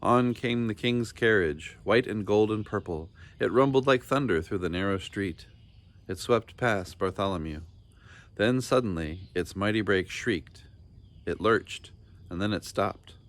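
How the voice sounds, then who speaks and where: A man narrating a children's storybook in an expressive, deliberate and dramatic storytelling style. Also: fairly low pitch. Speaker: storybook reader.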